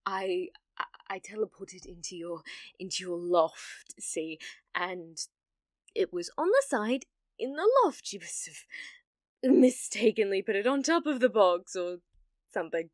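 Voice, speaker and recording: A woman's voice: medium pitch, a little slow, no echo, studio quality, close to an everyday voice. A woman with a British accent playing a clumsy, obsessive, lovestruck young witch in an ASMR roleplay, with hesitant, awkward speech. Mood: flustered, nervous. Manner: flustered, stammering, hesitant, breathy murmuring asides.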